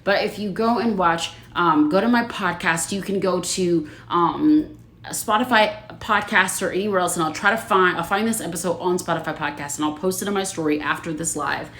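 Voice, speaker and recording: Woman host, casual livestream chat, a bit low-pitched, roomy echo; chatty, laughs a lot. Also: normal speed, a bit bright. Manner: chatty, laughs often, reads viewer comments aloud.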